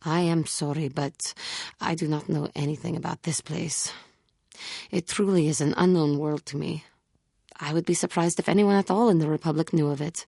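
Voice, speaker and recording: A woman voicing an earnest, troubled young female Jedi warrior in a video game, speaking formally and with measured care in a husky voice. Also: low-pitched, fairly even intonation, mellow, no echo, clean studio sound. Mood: grave, measured. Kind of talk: scripted game character dialogue.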